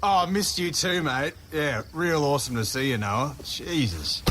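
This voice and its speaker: An actor with an Australian accent playing a cocky older brother who needles and mocks in matey slang, in a naturalistic audio drama. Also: male voice, fairly high pitch, animated, normal speed, noisy background, natural voice. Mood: mocking, teasing. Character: cocky taunting older brother, a grown man.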